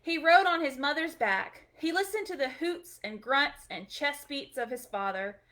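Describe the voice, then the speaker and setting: A woman's voice: sits high, animated, normal speed, bright, forceful tone. A woman hosting a storytime, reading a picture book aloud expressively for children, with short chatty asides.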